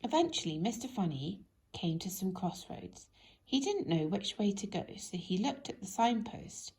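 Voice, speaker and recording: A woman reading a children's story aloud in an expressive, animated way, speaking directly to young listeners. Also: medium pitch, normal speed. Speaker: storybook reader.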